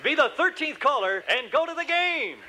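An actor playing a brisk, upbeat radio contest announcer calling out a giveaway. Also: male voice, very high-pitched, sing-song, background noise.

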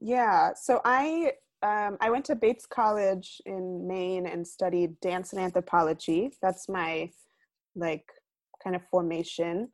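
A young woman from the United States, a guest artist, talking reflectively in an interview and often trailing off quietly. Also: fairly low pitch, slow pace, no room sound. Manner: thoughtful, many fillers and restarts, trailing off quietly.

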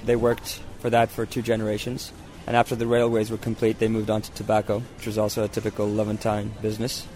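Man from Izmir, flat monotone delivery, casual talk, faint traffic behind. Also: fairly low pitch, fast talker, few pauses. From Izmir, Turkey. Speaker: tobacco dealer interviewee. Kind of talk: casual interview, some restarts. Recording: outdoor cafe with traffic noise.

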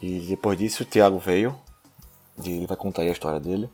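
Casual co-host, young man from northeast Brazil, low voice, hesitant, faint music playing under his talk. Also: brisk, mellow, slightly rough. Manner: hesitant, with restarts and light laughs. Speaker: podcast co-host. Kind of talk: casual podcast chat.

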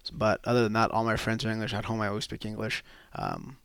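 Young guy from quebec chatting loose on a podcast, smooth voice, dry close mic, lots of ums. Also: on the low side, fast talker, dry recording. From Quebec, Canada. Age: young adult. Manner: relaxed, rambling, many fillers and restarts. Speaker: hockey player guest. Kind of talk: casual interview chat.

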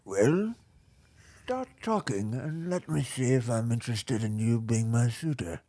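A man's voice: medium pitch, expressive, measured pace, dark tone, breathy edge. A man playing a snobbish, pompous rich bachelor hosting a comedy dating show, with a haughty, affected upper-class delivery. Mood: measured, judging. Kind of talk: improvised comedy sketch.

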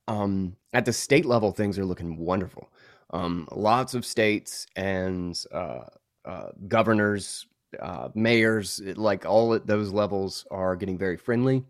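A man's voice: on the low side, no room sound, clean studio sound. A man chatting as a podcast guest, stuffed up from a recent illness, telling stories with lots of fillers and laughs. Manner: rambling, laughing storyteller with many fillers. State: congested, recovering from illness. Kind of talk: casual interview chat.

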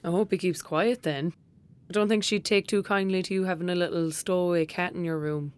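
A woman's voice: fairly low pitch, steady tone, a little fast, clean tone, natural voice. Blunt young Irish girl, acted by a woman. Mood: wary. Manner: blunt, casual, colloquial. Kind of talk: naturalistic audio drama acting.

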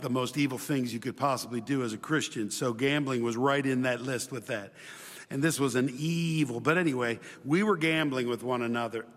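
A man's voice: on the high side, fairly bright tone. A man from Chile preaching a sermon, mixing scripture with personal stories and repeating phrases for emphasis. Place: large hall, speaking live to a congregation. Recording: reverberant large hall.

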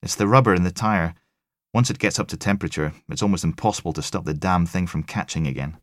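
A man's voice: deep voice, fast, no echo, studio quality, close to an everyday voice. A man voicing a weary, blunt, foul-mouthed female forensic technician in a crime audiobook. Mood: matter-of-fact.

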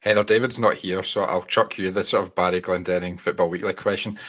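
A man's voice: low-pitched, steady tone, fairly quick, fairly bright tone, slight background noise. A man hosting a casual football podcast, putting friendly questions to his panel. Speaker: podcast host. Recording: dull lo-fi podcast audio.

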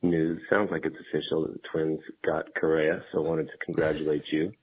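A man putting on a deep voice as a smug caller leaving a voicemail. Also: brisk, muffled recording. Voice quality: very deep, flat, husky.

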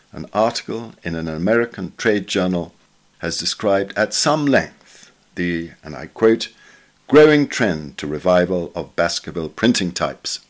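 A man reading a literary article aloud in a measured, formal manner, as scripted podcast narration. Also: on the low side, a bit bright. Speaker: reader of a journal article for a podcast.

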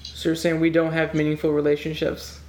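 A woman's voice: low voice, monotone, fairly quick, a little mellow, echoey room. A woman hosting a podcast, asking short, curious follow-up questions in a casual chat. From United States.

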